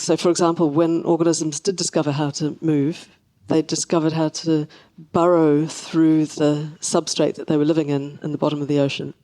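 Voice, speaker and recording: A woman speaking as a scientist guest in an interview, explaining things in a measured way. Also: low-pitched, warm tone, rough recording.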